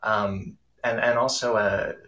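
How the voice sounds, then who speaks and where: A man with a fairly low, bright and forward voice talks as a panel guest on podcasting. He is enthusiastic and conversational, often halting and repeating small words as he goes. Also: steady tone, muffled recording, a little hiss or hum. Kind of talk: casual panel discussion.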